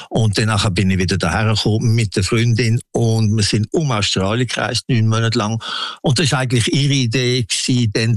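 A man in his sixties with a Swiss German accent, telling his life story as an interview guest in a halting, anecdotal way. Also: fairly low pitch, no echo, studio quality. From Switzerland. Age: 60s.

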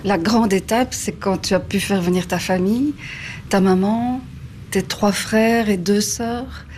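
A woman interviewer asks questions in a conversational way. Her voice is medium in pitch, with faint ambient noise behind it. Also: a little slow, a little mellow.

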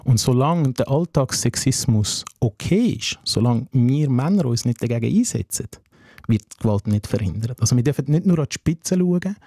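An earnest man with a Swiss German accent talks as a guest in a reflective interview. His voice is warm and mellow, and it often slips into voicing his own inner thoughts. The sound is dry and close. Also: medium pitch, expressive, moderate pace, no echo. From Afghanistan.

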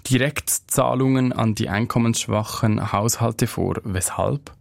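A male interviewer putting measured, pointed questions to a guest. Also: medium pitch, vocal fry, no echo.

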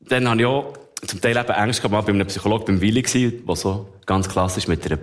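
A man's voice: on the low side, quick pace. A man in his thirties with a Swiss German accent, a guest telling stories in casual conversation, laughing often and restarting his sentences. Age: 30s.

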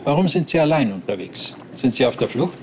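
An actor playing a stern, condescending official who interrogates and fines a hiker in a dry tone. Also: male voice, medium pitch, sing-song, a little fast, darkish tone, slight background noise, close to an everyday voice. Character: stern, smug male nature-reserve enforcer.

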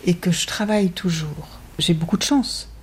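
A woman's voice: fairly low pitch, noisy background. A woman in her fifties, an actress, speaking thoughtfully and wryly about her career in an interview. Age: 50s. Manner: thoughtful, measured, wry. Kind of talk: reflective interview talk.

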